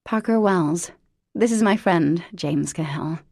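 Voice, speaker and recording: A woman's voice: fairly low pitch, slow, a little mellow, dry recording. A woman voicing a sarcastic young woman in an audiobook, dry and wry. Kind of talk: audiobook character voice.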